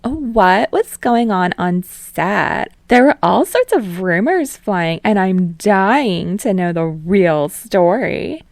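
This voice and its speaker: Woman putting on a bubbly female talk show host, high, loud, gushing, drawn out, dry close recording. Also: lively intonation, slow pace, hardly any pauses, no echo. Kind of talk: fan fiction audiobook reading.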